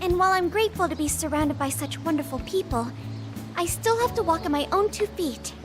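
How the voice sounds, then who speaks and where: A gentle, well-mannered young heiress, with a very high and lively voice. She speaks softly and with great politeness. Game music plays under her lines. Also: fairly quick. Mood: polite. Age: young adult. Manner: soft-spoken and very polite. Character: polite, gentle young woman, a university student and company heir. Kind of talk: video game voice acting. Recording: game cutscene with music behind.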